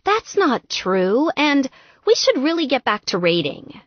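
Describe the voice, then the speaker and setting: Narrator playing a geeky young gamer woman, lively high swoops. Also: measured pace, no echo, lo-fi sound, full character voice. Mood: placating.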